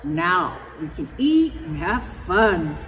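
A middle-aged woman reading a children's picture book aloud theatrically, with dramatic pauses and drawn-out words. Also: on the low side, very animated, a bit bright, long reverb tail. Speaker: storyteller.

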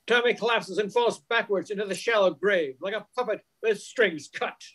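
An older man narrates a radio mystery from a script. His voice is high for a man. The delivery follows old-time radio storytelling, captured on a video call. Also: normal speed, bright, forceful tone. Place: home room, video call. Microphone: computer mic on a video call.

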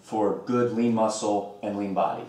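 A man's voice: on the low side, fairly even intonation, a little slow, darkish tone. Young male host in a roomy kitchen. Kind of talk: scripted show hosting. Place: home kitchen, indoors. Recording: roomy kitchen sound, mic at a distance.

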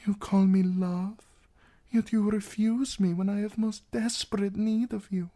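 Narrator putting on a young fantasy princess, husky but pitched up high, wilful and courtly, slow delivery. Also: darkish tone, natural voice. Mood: frightened, pleading. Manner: formal, archaic courtly phrasing.

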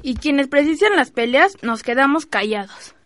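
A woman's voice: sits high, animated, normal speed, a bit bright, no room sound, studio quality. A woman playing a sensitive, thoughtful, melancholy young teenager in a radio drama. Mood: subdued.

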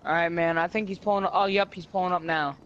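Guy with a really high voice playing a game, bossing friends around in slang, bit of noise. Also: fairly even intonation, fast, fairly bright tone, lo-fi sound. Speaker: video game player. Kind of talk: casual in-game roleplay chat.

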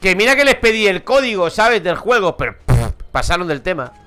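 Chatty Madrid gamer, bright high voice. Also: male voice, very animated, fairly quick, soft background music. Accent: Madrid Spanish. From Madrid, Spain. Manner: chatty, swears, laughs at own stories. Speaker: gaming streamer. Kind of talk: casual let's-play commentary. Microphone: headset.

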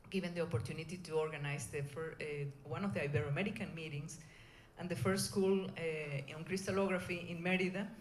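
A middle-aged woman with a Venezuelan Spanish accent gives an informal conference talk, speaking in a hesitant, halting way. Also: sits low, steady tone. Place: indoor conference room. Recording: echoey room, clear background noise.

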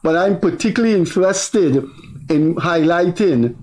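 An older man with a Guyanese accent, hosting a political talk show in a studio with measured, deliberate delivery. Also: high voice, lively intonation, a little mellow, rough recording. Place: TV studio with virtual set.

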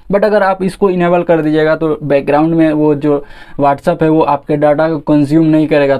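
A man's voice: a little high, darkish tone, smooth. A young man narrating a phone tutorial casually and informally, talking straight to viewers. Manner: informal, addresses viewers as guys and friend. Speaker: tech video host.

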